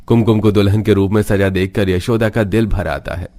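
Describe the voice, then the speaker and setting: A man's voice: fairly low pitch, fairly even intonation, fairly quick, dark tone. A man reading scripted narration for a cartoon story.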